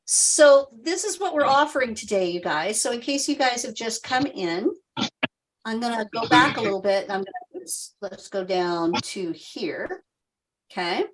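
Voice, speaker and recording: Woman in her 60s doing a casual live sales pitch over a computer mic, lots of ums. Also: lively intonation, normal speed, rough recording. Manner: chatty and self-deprecating, lots of um fillers. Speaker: health practitioner hosting a live sales presentation.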